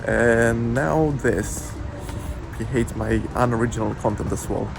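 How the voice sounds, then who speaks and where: A man speaking in a reflective, unhurried way. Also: medium pitch, vocal fry. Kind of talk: casual spoken commentary. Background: music.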